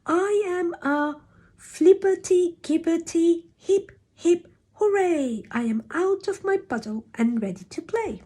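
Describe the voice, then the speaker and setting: A woman's voice: extremely high pitch, takes it slowly, voice pushed up, full character voice. A woman voicing a mischievous, playful male puddle creature with a tail and paws, speaking in bouncy rhymes. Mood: gleeful. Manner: bouncy, rhyming, excitable.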